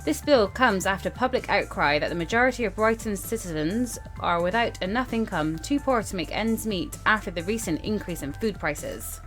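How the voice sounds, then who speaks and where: Female let's-play host, mid-pitched, informal, reading on-screen text aloud with chatty asides, music behind her. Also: expressive, normal speed, hardly any pauses, a bit bright. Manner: informal, stumbles over read text and comments on it. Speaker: gaming video host.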